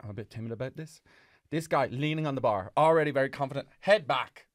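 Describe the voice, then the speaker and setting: A man's voice: mid-range voice, fast, no room sound, clean studio sound. Chatty Irish man telling funny stories. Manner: animated storyteller, slips into mock singing voices. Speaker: podcast co-host. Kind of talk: casual comedic chat.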